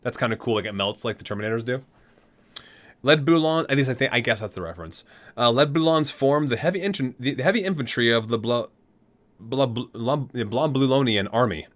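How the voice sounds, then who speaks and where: A man hosts an ASMR read-aloud at a middle pitch that moves around a lot. He trips over words, corrects himself and drops in casual asides. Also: lively intonation, fairly quick, fairly bright tone. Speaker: ASMR video host.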